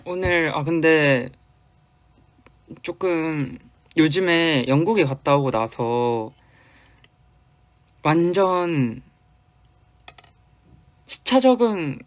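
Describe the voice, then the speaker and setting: A young man from Busan with a light Busan accent, chatting casually with fans on a livestream and answering their comments. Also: a little high. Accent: Busan Gyeongsang Korean, light. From Busan, South Korea. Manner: chatty, loose and playful, with sudden drops to quiet murmurs. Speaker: idol hosting a fan livestream.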